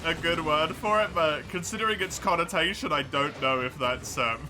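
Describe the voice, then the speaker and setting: A man's voice: fairly high pitch, normal speed, background noise. An English man chatting casually with his live-stream viewers, laughing often, mocking himself and swearing easily. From England. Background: music.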